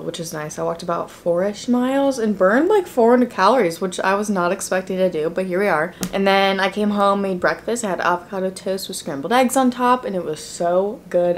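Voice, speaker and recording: Young female vlogger, chatty and casual, mid-pitched, phone-at-arm's-length sound. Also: slight background noise. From Texas, US. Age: young adult. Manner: chatty, drawn-out, with frequent "like" and some whispered asides. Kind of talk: casual vlog chat to camera. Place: bedroom at home, then car. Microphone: camera at arm's length.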